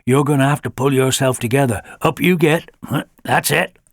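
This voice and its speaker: A man voicing a brisk, stern adult male fox who scolds in a fatherly way. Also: mid-range voice, no room sound, clean studio sound, close to an everyday voice.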